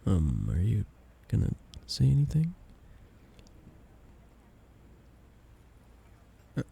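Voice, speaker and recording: A man's voice: on the low side, wildly swooping pitch, normal speed, creaky, mellow, breathy edge, full character voice. A man playing a shy young guy confessing love to a best friend in an intimate ASMR romance roleplay, tender and hesitant. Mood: earnest, nervous.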